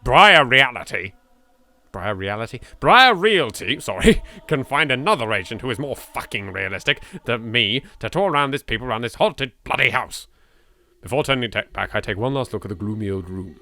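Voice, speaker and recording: A man's voice: mid-range voice, animated, normal speed, sharp tone. A British man doing a let's play, reading horror game text aloud with theatrical expression and dropping in his own sweary asides. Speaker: video game let's player.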